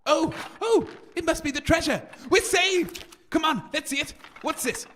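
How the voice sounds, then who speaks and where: An actor playing a grumpy, anxious radio co-host in a comic audio drama, fretful, complaining and dramatic. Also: male voice, very high-pitched, lively intonation, moderate pace, bright, forceful tone, slight background noise, full character voice. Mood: scornful.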